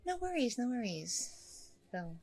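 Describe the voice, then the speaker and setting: A woman's voice: on the high side, very animated, measured pace, mellow, full character voice. A woman playing a friendly, nervous single mom on a blind date in an ASMR roleplay, rambling casually in a bubbly way. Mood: relieved, reassuring. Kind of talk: ASMR audio roleplay, conversational.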